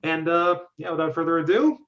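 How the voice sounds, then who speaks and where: A man's voice: a little high, a little fast, a bit bright. A man hosting a casual group discussion over an online call. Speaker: host. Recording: dull lo-fi call audio.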